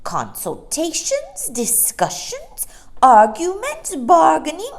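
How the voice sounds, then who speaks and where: A woman voicing a shrill, pompous, chattering male starling in a storybook reading. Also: huge pitch swings, takes it slowly, slight background noise, heavily acted. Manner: pompous and wheedling.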